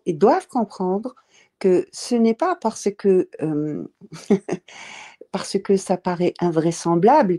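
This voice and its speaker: Woman, slow delivery, dark mellow tone, emphatic, halting with fillers. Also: medium pitch. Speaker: panel speaker. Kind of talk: casual panel discussion.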